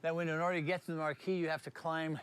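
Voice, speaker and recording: Chatty older man, slightly high voice. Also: flat delivery, rapid-fire, a bit bright, clear, resonant tone. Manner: chatty, folksy. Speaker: movie theater owner being interviewed. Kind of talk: casual on-location interview. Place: inside a small movie theater building. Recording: faint background noise, on location.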